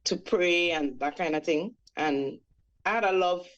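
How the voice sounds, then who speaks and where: A woman's voice: fairly low pitch, measured pace, highs cut off. A woman in her forties from Trinidad with a Trinidadian accent, a reggae singer guest recounting her life in a reflective, storytelling way with many fillers. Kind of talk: radio interview.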